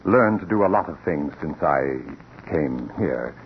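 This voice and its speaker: A man playing an earnest, secretive laboratory assistant in 1940s radio suspense style, speaking in a deliberate, formal way. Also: extremely low pitch, animated. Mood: measured, explaining. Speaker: actor in a radio drama. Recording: muffled, noisy 1940s radio recording.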